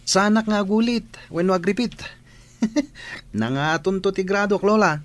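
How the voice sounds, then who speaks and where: A man's voice: high voice, a little slow, no echo. A man playing a cocky, lazy student in a radio drama, talking in a flippant, boastful, pleading way. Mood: cocky, assuring. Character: cocky, lazy male student, breezily self-assured. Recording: clear background noise.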